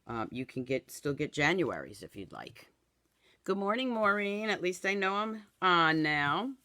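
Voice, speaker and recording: A woman in her fifties, hoarse and raspy from a cold, chatting through a live craft demo and greeting viewers by name in a home craft room. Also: on the low side, big pitch swings, a little slow, pushed and bright. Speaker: craft product demonstrator. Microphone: camera in front, then overhead camera.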